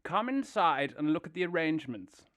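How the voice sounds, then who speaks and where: A man voicing a boastful, excitable male toad who rattles off lists like a salesman in a fan audio drama. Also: fairly high pitch, moderate pace.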